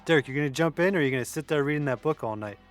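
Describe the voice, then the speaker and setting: Man playing sarcastic male gamer in his youth, lightly raised pitch, needling and casual, audio drama. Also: very fast, soft background music, close to an everyday voice. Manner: casual, teasing.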